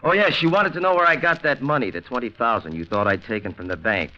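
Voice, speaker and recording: An American actor playing a calm, sardonic avenger with mocking politeness in a 1940s radio thriller. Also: male voice, mid-range voice. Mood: quietly triumphant. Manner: mocking mock-politeness, deliberate. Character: calm sardonic avenger holding killers at gunpoint. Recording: muffled, noisy 1940s radio recording.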